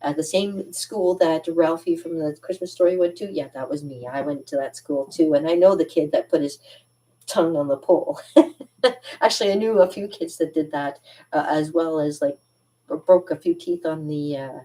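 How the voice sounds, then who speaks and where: A woman's voice: low-pitched, normal speed, recorded from a distance, low-quality recording. A woman with a Canadian accent, chatting casually and rambling between songs, laughing often. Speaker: channel host. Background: loud ukulele strumming.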